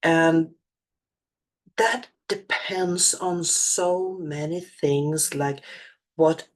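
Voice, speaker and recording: A woman's voice: deep voice, very slow. A woman from Sweden with a Swedish accent, a spiritual channeler speaking in a reflective monologue. She often hunts for words and restarts her phrases. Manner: searching for words, many restarts and fillers.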